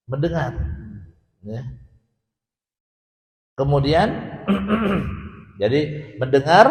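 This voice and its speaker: An Indonesian man giving an informal language lesson to a group, explaining and repeating his points and often checking with his listeners. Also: mid-range voice. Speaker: Arabic language teacher. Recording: echoey hall with long reverb.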